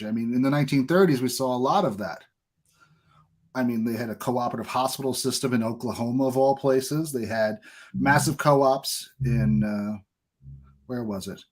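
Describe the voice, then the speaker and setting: Man, podcast host, mid-range pitch, casual, talkative, opinionated. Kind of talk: casual interview chat.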